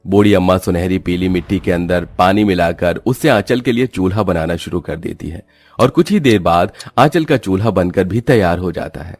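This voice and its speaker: A man's voice: low-pitched, animated, normal speed, faint music behind. A man narrating a scripted cartoon story.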